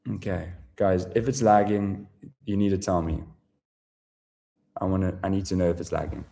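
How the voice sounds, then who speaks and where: A South African man hosts a live sales webinar, talking in a low, dark, mellow voice. His delivery is casual and salesy, full of swearing, fillers and restarts as he pushes his offer to viewers. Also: fairly even intonation, normal speed, vocal fry. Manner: casual, profane, filler-heavy sales talk. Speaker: webinar presenter.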